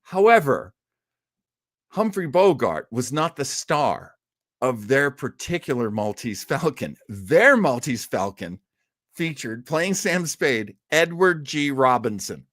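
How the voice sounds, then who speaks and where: Man, American-raised host, medium pitch, casual live chat, enthusiastic fan, wandering asides, very dry recording. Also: big pitch swings, slow pace, fairly bright tone, no echo. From United States, outside Boston. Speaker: host of an old-time radio listening show.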